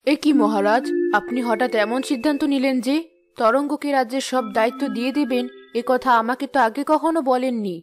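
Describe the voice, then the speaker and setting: A woman playing a concerned, practical queen and mother in an animated fairy tale. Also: high voice, fairly quick, faint music behind, natural voice.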